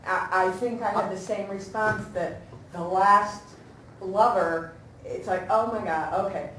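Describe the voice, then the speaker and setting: A woman in the audience giving informal critique at a talkback with hesitant, measured phrasing, recorded from a distance in a room. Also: mid-range voice, fairly even intonation. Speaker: audience member giving feedback. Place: indoor room at a staged reading talkback. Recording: echoey room, distant mic, faint noise.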